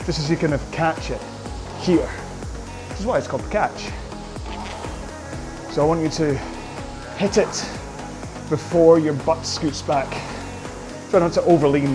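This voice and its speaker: A man coaching a rowing workout, calling out cues in an upbeat, jokey way, his voice slightly hoarse. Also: medium pitch. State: a bit hoarse. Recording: music playing behind. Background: workout music.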